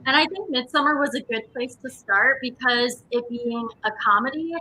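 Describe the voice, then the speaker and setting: A woman gives a casual, enthusiastic interview as a theatre company guest. Her voice is fairly high and bright, peppered with "like". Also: normal speed, slight background noise, low-quality recording. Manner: enthusiastic, frequent "like".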